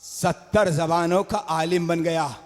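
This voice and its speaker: A man with an Urdu accent delivering a majlis sermon, rising to shouts and sung phrases as he calls out to the gathering. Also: a little high, steady tone, slow. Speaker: majlis orator. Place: large religious gathering hall. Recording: long hall reverb.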